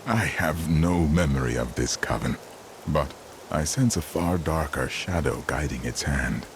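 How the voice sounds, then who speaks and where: A man voicing a warrior with no memory who speaks in halting, grave fragments, in a video game. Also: a bass voice, heavy background noise. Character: confused male warrior who lost his memory.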